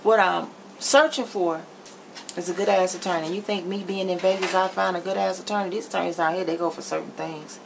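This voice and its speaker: A middle-aged woman vlogger with a lowish, gravelly voice talks bluntly and emphatically to camera. She is recorded in a home kitchen on a camera mic, with some background noise. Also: fairly even intonation, a little fast. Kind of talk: candid chit-chat to camera while cooking.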